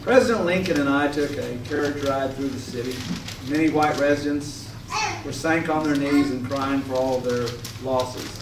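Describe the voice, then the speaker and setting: A man acts out a Civil War general giving a formal account in readers theater. He is dignified, firm and measured. The recording is distant and echoey, with clear background noise. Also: medium pitch, lightly acted. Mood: solemn, proud. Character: dignified, firm Union general and military governor in 1865. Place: echoing room at a stage rehearsal. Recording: echoey, noisy room, distant mic.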